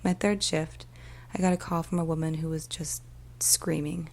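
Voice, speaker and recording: A woman voicing a weary, troubled police emergency dispatcher in a soft, often whispered ASMR storytelling monologue, speaking slowly and deliberately. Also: low-pitched, little pitch movement, gravelly. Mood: subdued, weary. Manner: slow, hushed, deliberate, with pauses. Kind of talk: ASMR storytelling, first-person dramatic monologue.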